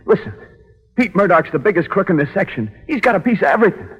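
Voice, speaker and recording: Husky young condemned crook. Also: male voice, high-pitched, animated, fast talker. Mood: bitter, urgent. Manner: slangy tough-guy delivery, intense. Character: doomed young male condemned crook, bitter, tough, defiant. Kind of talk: 1940s radio drama acting. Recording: dull vintage radio recording, reverberant.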